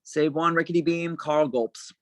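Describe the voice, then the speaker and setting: Low-pitched, close and dry screenplay narrator. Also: fairly even intonation, moderate pace, no echo. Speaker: stage-direction reader in a table read. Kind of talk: scripted narration, reading screenplay stage directions.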